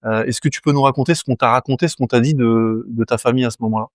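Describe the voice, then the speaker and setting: A man's voice: medium pitch, steady tone, very fast, no echo, studio quality. A man hosting an interview, asking polite, prompting questions with frequent restarts. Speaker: interviewer, host.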